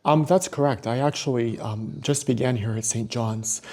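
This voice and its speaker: A man from New Hampshire speaking earnestly as a radio interview guest, measured and pausing as they think. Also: mid-range voice, a little mellow. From New Hampshire, United States. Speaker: interview guest, seminary music director. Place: on location at a seminary.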